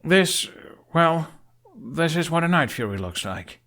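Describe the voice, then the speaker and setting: A man voicing a grown young dragon rider in an audiobook, wry, earnest and a little awkward, speaking in a halting, emphatic way. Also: on the high side, very animated, fairly bright tone, voice pushed up, exaggerated voice.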